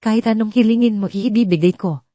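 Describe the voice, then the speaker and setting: Woman voicing a sincere, mellow billionaire father. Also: medium pitch, fast talker, no room sound, voice pushed up, natural voice. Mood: grateful, earnest. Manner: earnest, heartfelt. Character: sincere, grateful billionaire father, a grown man. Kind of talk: audio storybook drama.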